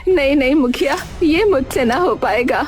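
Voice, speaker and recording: A woman's voice: high voice, expressive, moderate pace, soft background music. A woman playing a poor, meek old village widow in a cartoon story, hesitant and pleading. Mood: distressed, pleading. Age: older. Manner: hesitant, pleading, stammers when upset. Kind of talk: Hindi cartoon story acting.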